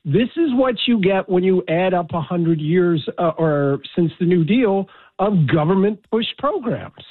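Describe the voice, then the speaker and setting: High pitched guy calling in, pushy about his point, thin phone line audio. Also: animated, unhurried, dry recording. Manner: insistent, talks over the host. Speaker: author guest. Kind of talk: phone-in interview.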